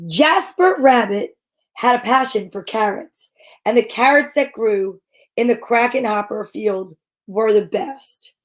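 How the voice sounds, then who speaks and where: A woman reading a children's picture book aloud in a theatrical, suspenseful, drawn-out way. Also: a little high. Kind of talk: dramatic children's story read-aloud.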